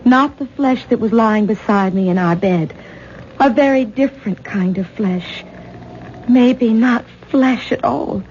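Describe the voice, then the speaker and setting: A woman playing an anxious, exasperated wife in a 1970s radio drama, halting and repeating words as she insists. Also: fairly high pitch, a little mellow. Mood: agitated, insistent. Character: anxious, exasperated wife and young mother, adult daughter. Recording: dull, noisy 1970s radio broadcast recording.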